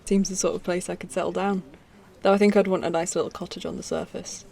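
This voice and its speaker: Earnest low-voiced woman acting a space traveller. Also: level tone, quick pace, gravelly, warm tone. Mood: friendly, relaxed. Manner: earnest, confiding. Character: troubled, grieving human woman, a displaced space traveller. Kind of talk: science-fiction audio drama acting.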